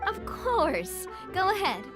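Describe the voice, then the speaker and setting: Caring but determined young lady boss in a video game, high voice, bit of music under. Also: wildly swooping pitch, slow pace, full character voice. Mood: gentle, reassuring. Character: caring, resolute young female leader of a protective group. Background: faint game music.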